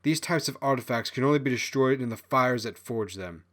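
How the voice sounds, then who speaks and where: A man's voice: medium pitch, brisk, a bit bright, pitched above the performer's own voice, lightly acted. A man voicing a proud, imperious female fox spirit in an audiobook fantasy story. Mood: haughty, resolute.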